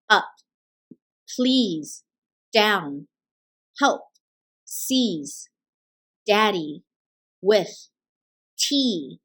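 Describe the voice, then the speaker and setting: A woman reading a children's early reader aloud, deliberately enunciating each word as a narrator. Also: medium pitch, fairly bright tone, low-quality recording. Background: background music.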